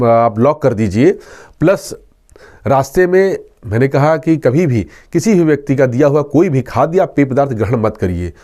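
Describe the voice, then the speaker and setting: A man's voice: medium pitch, a little mellow. A man answering interview questions as a police inspector, earnestly instructing and repeating his key points. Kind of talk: explanatory interview answers with safety advice.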